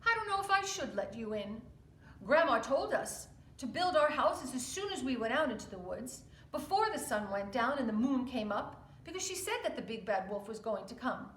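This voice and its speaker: Eldest male storybook pig, woman voicing, stern, scolding, measured, lively pitch, echoey room. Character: oldest male pig, stern hardworking brother.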